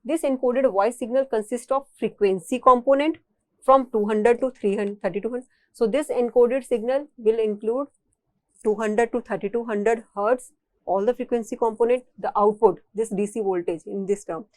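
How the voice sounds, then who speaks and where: A woman's voice: fairly high pitch, moderate pace, darkish tone, no echo. Indian-accented woman lecturing, dry close sound. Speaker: engineering lecturer. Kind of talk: technical lecture explaining a diagram.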